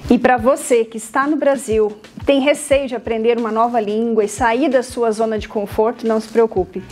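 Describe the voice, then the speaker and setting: Female vlog host, Brazilian accent, higher-pitched voice, upbeat delivery, music underneath. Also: fairly even intonation, moderate pace, a little mellow. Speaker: presenter. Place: indoor classrooms and corridors of a school. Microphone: lapel.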